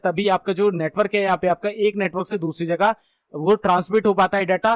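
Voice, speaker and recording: High-pitched Indian man teaching. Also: dry recording. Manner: conversational, repeats and rephrases points. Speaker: computer networking instructor. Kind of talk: informal explanatory lecture mixing Hindi and English.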